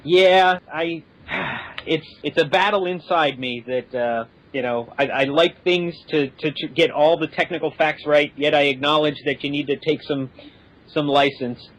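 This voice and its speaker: A man's voice: a little high, a little slow, rough recording. A man on a discussion panel, speaking casually in a hesitant, considered way. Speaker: podcast guest.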